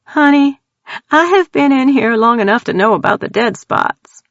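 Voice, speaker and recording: A woman's voice: animated, no echo. A woman doing scared young East Texas woman Tildy. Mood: frantic. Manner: high-strung, rapid, swearing. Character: frightened young Southern woman, crude and tearful. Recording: dull dry audio.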